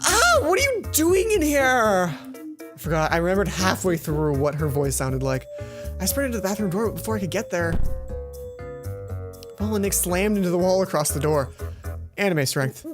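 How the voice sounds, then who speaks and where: A woman's voice: fairly low pitch, normal speed, pauses often. A woman hosting a let's play, reading a visual novel aloud and adding her own side comments. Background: game music.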